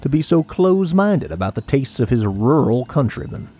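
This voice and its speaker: Man voicing a Southern gambler, Southern US accent, boastful, whooping, very lively pitch, audio drama. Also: moderate pace, husky. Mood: wry. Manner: whooping, folksy drawling sayings.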